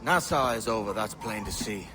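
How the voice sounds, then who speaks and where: An actor playing a shrewd, steady pirate leader in a video game, talking plainly and decisively in colloquial speech. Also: male voice, on the low side, moderate pace, close to an everyday voice. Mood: steady, plotting. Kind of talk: video game character acting. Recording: noisy outdoor ambience behind voice.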